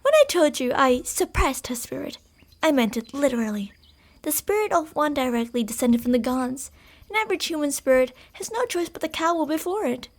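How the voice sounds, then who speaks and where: A woman playing a calm, possessive fox spirit. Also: sits high, fairly quick. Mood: composed. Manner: calm, formal and quietly manipulative; soft-spoken, dipping into whispers. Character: obsessive female fox spirit in a borrowed body. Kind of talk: ASMR roleplay.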